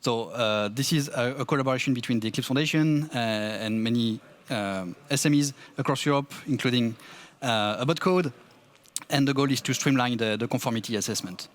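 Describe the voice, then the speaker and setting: A man's voice: mid-range voice, fairly quick. A man presenting at a tech conference, often restarting and repeating words. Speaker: conference speaker.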